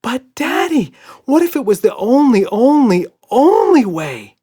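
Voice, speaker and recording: A man does an excitable little girl in a children's storybook. The voice is pushed up high and jumps around. She squeals and pleads with great eagerness. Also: measured pace, husky, exaggerated voice. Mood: pleading. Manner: squealing, wheedling.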